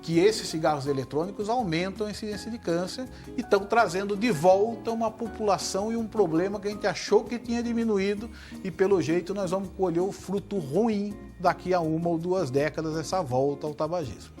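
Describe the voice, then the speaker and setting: A middle-aged Brazilian man, a surgeon guest, explaining in a measured way and often tagging his points with "né?". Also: high-pitched, darkish tone, faint music behind. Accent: Brazilian Portuguese. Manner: measured, tag questions like "né?". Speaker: head and neck surgeon guest. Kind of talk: explanatory interview answers.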